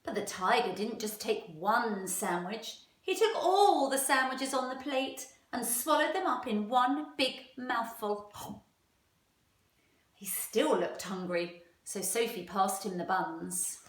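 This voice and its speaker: A woman's voice: fairly high pitch, animated, slow pace. A woman teacher reading a storybook aloud to young children, expressively and with questions for them. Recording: roomy echo, distant microphone.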